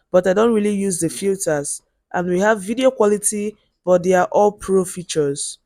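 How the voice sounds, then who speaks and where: A woman with a loud voice teaching video editing step by step on a handheld mic, in a chatty way with lots of "okay" and "right?". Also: mid-range voice, no echo, clean studio sound. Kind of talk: screen-recorded app tutorial.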